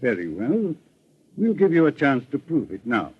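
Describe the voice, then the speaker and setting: Composed radio detective, muffled old recording. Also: male voice, mid-range voice, wildly swooping pitch, breathy edge. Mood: firm, resolute. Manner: formal, measured questioning. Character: composed, courteous older male private investigator, probing and authoritative. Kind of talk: 1940s radio detective drama acting. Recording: dull old-time radio broadcast recording.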